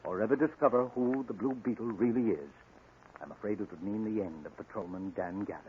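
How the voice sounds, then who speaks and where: An actor playing an older doctor, a gentle, worried advisor speaking in a measured, fatherly way in a 1940s radio drama. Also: on the low side, natural voice. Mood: concerned, cautioning. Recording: noisy muffled 1940s radio recording.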